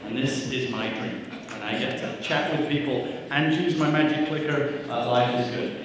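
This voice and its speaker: A man's voice: mid-range voice, normal speed, slight background noise. A man giving a welcome speech as CEO at a breakfast event, upbeat and joking, with frequent "uh" fillers, on a handheld microphone. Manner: upbeat, self-deprecating jokes, frequent "uh" fillers. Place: church hall with audience. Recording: echoey hall, mic from a distance.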